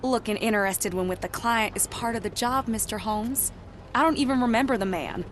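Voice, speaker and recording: An actress playing a guarded, soft-hearted working girl in a video game, with a folksy Southern American drawl. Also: on the high side, fairly quick, slight background noise, close to an everyday voice. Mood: sassy, guarded.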